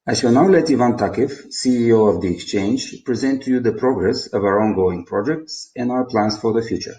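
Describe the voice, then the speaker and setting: A man's voice: mid-range voice, a little fast, mellow. A man with a Bulgarian accent formally reads out a scripted financial presentation in a roomy, echoing recording. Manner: formal, reading out figures. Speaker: company presenter.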